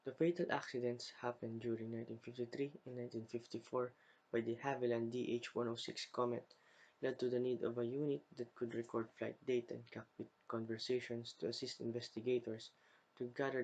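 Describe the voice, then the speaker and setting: A man's voice: medium pitch, level tone, a little fast, vocal fry, a little mellow, low-quality recording. A young man reads a scripted slide narration aloud, carefully and phrase by phrase, as a student presenter.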